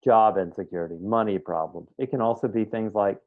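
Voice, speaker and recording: A man's voice: fairly low pitch, fairly quick. A man teaching a meditation class in a casual, conversational way, often checking that his students follow. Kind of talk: live online class teaching. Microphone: video call. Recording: tinny phone-like line.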